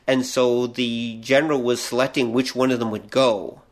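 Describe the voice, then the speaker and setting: A man's voice: medium pitch, steady tone, normal speed. A man co-hosting a podcast, explaining films in a casual, opinionated way. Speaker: podcast co-host. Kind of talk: casual film chat.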